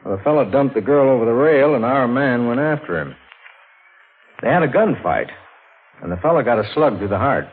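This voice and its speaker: An actor playing a matter-of-fact, folksy American state police officer with easygoing authority in a 1940s radio drama. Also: male voice, medium pitch, animated, brisk, slightly rough. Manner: plain-spoken, easygoing authority. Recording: tinny, noisy old radio recording.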